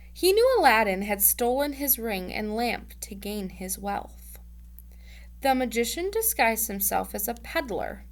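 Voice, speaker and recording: A woman reads a children's story aloud in the manner of a teacher addressing students. Her delivery is expressive, with a playful, casual touch. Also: fairly high pitch.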